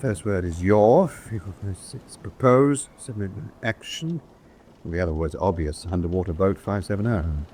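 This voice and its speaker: An actor playing a brisk naval officer in a radio drama, with a dry, matter-of-fact manner. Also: male voice, low-pitched, a little mellow, a little hiss or hum. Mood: dry concentration.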